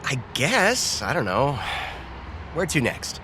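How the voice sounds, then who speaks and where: A man's voice: mid-range voice, huge pitch swings, slow pace, bright, forceful tone, slight background noise, exaggerated voice. An actor playing an easygoing, bashful man in a romantic comedy audio drama, talking in a hesitant, wry, self-deprecating way. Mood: modest, relaxed.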